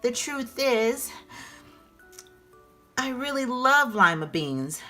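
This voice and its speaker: A woman's voice: fairly high pitch, big pitch swings, takes it slowly, pushed and bright, faint music behind, pitched above the performer's own voice, exaggerated voice. A woman voicing an anxious young schoolgirl with a dramatic, plaintive manner in a children's storybook. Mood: desperate, confessing. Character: anxious young schoolgirl, self-conscious and expressive.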